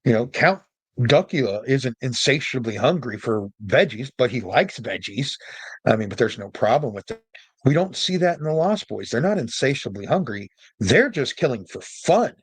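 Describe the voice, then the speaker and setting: A man's voice: medium pitch, sing-song, moderate pace, breathy edge, no echo. A man giving casual solo commentary as a podcast host, informal and opinionated, with joking asides.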